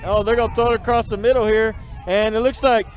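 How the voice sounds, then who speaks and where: A man doing color commentary at a high school football game outdoors, easygoing and laughing often. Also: steady tone, fast, low-quality recording.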